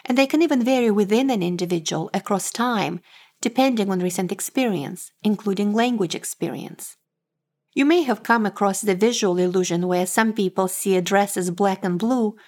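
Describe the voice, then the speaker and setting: A woman from Moldova, a native Romanian speaker with a Moldovan Romanian accent, giving a measured, scripted talk as an author and professor. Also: mid-range voice, a little mellow.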